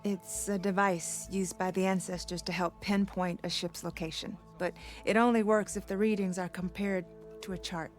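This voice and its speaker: An actress playing a despondent, homesick female navigator in a video game, with a fatalistic and measured way of talking. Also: medium pitch, fairly even intonation, natural voice. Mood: explanatory. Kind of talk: video game cutscene acting. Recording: music behind the dialogue.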